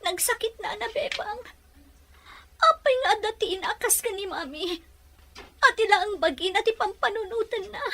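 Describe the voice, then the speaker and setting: Woman playing super high voiced young daughter, radio melodrama, hurt and defiant, very emotional. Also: a bit bright, full character voice. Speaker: actor in an audio drama. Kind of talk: melodramatic Ilocano radio-drama acting.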